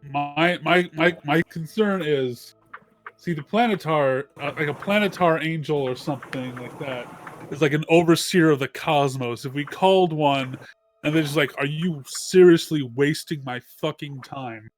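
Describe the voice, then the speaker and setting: A man's voice: a little high, moderate pace, soft background music. A man bantering casually as a tabletop game player, slangy and joking, swearing freely. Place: online group voice call, livestreamed game.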